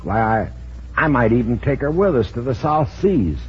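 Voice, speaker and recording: An actor playing a gruff, scheming middle-aged schooner owner with folksy, ungrammatical speech in a 1940s radio drama. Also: male voice, mid-range voice, big pitch swings, moderate pace, slight background noise, lightly acted. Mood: sly. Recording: muffled 1940s radio broadcast recording.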